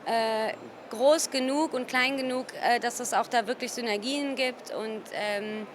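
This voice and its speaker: Higher-voiced woman from Cologne, halting, searching delivery. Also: steady tone. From Cologne, Germany. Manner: hesitant, pausing often to search for words. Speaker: gallerist presenting artworks. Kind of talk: informal spoken interview. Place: busy exhibition hall at an art fair. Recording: noisy art fair ambience. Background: ambient fair noise.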